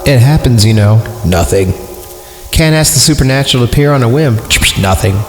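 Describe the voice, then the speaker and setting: A man's voice: mid-range voice, expressive, a little fast. A man hosting a podcast, casually reading and riffing on horror stories in an irreverent, joking, rambling way. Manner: irreverent, joking, digressive, easily amused. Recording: echoey long reverb, music behind the voice. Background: music.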